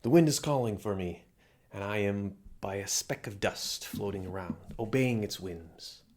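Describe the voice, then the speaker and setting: A man's voice: on the low side, moderate pace, darkish tone, natural voice. A man playing a flirty, theatrical palm reader at a fantasy inn, speaking in courtly, coaxing phrases to the listener in an intimate audio roleplay. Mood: wistful.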